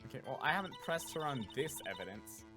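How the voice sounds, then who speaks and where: A man's voice: mid-range voice, sing-song, fast, music in the background. A man giving casual gameplay commentary as he plays through a game. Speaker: voice actor playing a game.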